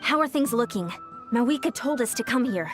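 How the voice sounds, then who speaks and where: A woman's voice: a little high, expressive, brisk, natural voice. A woman voicing an eager, practical young warrior in a video game, upbeat and decisive. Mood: ready. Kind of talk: video game character acting. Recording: music under the dialogue.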